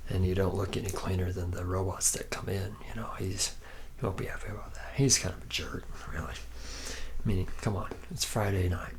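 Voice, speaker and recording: A man's voice: sits low, steady tone, moderate pace, slight background noise. A man playing a laid-back, boozy, womanizing factory worker from the future in an ASMR roleplay, rambling slangily and often dropping into a whisper. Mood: casual instructing.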